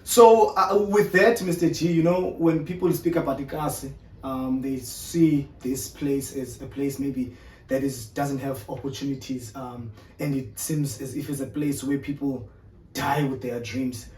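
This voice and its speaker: A man hosting a casual interview chat, speaking in a relaxed way and chiming in often with short affirmations. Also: mid-range voice, measured pace, a little hiss or hum. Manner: relaxed, frequent filler affirmations. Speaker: host. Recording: echoey room, distant mic.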